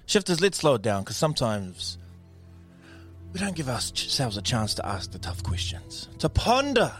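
A New man hosts a morning radio show into a studio mic. His pitch swings widely as he teases, jokes and laughs his way through casual banter. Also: wildly swooping pitch, normal speed, fairly bright tone, soft background music. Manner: playful, teasing, laughs often.

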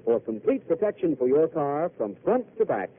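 A man reading a live radio commercial in a lively, quick salesman patter. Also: on the high side, slight background noise. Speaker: radio announcer. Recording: tinny old radio broadcast.

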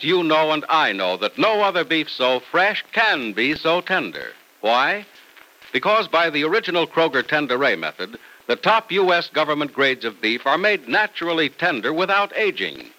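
A man's voice: fairly high pitch, pushed and bright, rough recording. A man announcing in a polished, emphatic 1940s radio style, reading from a script. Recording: old radio broadcast recording.

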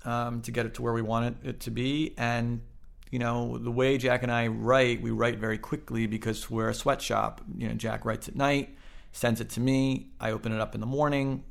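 Man with a gravelly, creaky voice, mid pitch, relaxed interview chatter. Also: normal speed. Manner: conversational, asks with filler words. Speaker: series co-creator. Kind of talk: casual interview.

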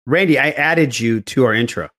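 A man's voice: mid-range voice, moderate pace, fairly bright tone. A man hosting a podcast, chatting casually and telling loose, rambling stories in a blunt everyday way. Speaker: podcast host. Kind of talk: casual group chat.

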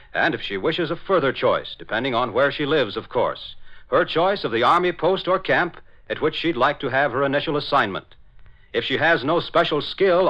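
A man working as announcer and narrator, reading a script in formal 1940s radio style. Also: medium pitch. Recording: dull old radio recording.

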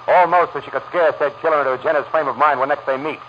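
A man's voice: on the high side, fairly even intonation, very fast, lightly acted. A man playing a tough, wisecracking private detective in 1940s radio noir, with clipped, wry, slangy delivery. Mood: sardonic. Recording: muffled, very noisy vintage radio recording.

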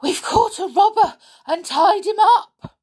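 Woman playing a cheeky boy, lively and earnest. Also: fairly low pitch, slow, gravelly, husky, exaggerated voice. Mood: excited, protesting. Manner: earnest-sounding, protesting. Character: excitable, cheeky young boy, mock-serious.

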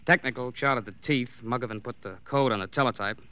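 An actor playing a wry, needling police colleague, talking breezily in an old radio crime drama. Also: male voice, medium pitch, brisk, pushed and bright, smooth, close to an everyday voice. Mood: breezy briefing. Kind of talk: 1940s-50s radio drama acting.

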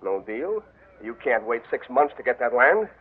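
A smug card-sharp villain. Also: male voice, mid-range voice, very animated, normal speed, slightly rough. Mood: defiant. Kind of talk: 1940s radio acting. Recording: tinny old radio broadcast.

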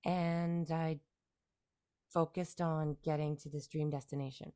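A woman from Canada in her forties, a musician guest talking through her career in a relaxed, rambling way with fillers and restarts. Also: deep voice, measured pace, clear, resonant tone. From Canada, near Toronto. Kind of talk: casual interview conversation.

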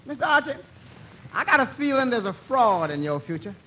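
An actor playing a skeptical, dry-witted tavern waiter in a 1940s radio comedy, deadpan in his wisecracks, on a very noisy old broadcast. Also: very high voice, a little fast, heavily acted. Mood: skeptical, wry.

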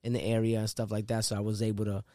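A man in his thirties from Rhode Island, a rapper guest talking casually in an interview, relaxed and rambling with lots of "you know what I'm saying". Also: lowish voice, fast talker, no echo, studio quality.

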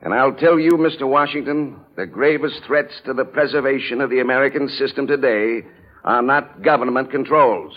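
Male speaker as solemn elder adviser, formal declarations, medium pitch, dull old broadcast. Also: normal speed, close to an everyday voice. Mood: grave. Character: grave senior statesman advising a president. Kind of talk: formal scripted oratory. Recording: dull vintage radio recording.

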